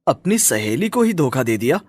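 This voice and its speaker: A man's voice: medium pitch, sing-song, moderate pace, darkish tone, breathy edge, no echo, studio quality. A man voicing a disapproving village husband with a troubled conscience, reproachful and questioning, in a moral story. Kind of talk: dramatized Hindi moral story.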